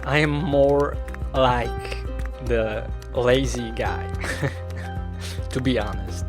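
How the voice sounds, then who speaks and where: Swiss German-accented male host, unhurried reflective solo talk, mid pitch. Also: over music. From Switzerland. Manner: slow, reflective, self-deprecating. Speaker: podcast host. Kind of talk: solo podcast monologue.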